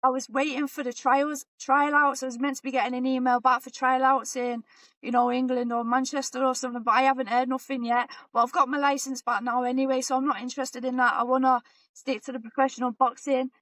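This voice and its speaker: A woman in her forties, a boxer talking as a guest in a relaxed, chatty way and often repeating herself. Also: sits high, a little fast, no echo. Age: 40s. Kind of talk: casual interview chat.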